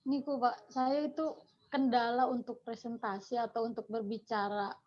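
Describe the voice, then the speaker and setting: A woman's voice: on the high side, flat delivery, moderate pace. A woman with a Javanese Indonesian accent asking a question from the audience, rambling and mixing in Javanese words. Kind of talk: casual question. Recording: dull lo-fi sound from off-mic.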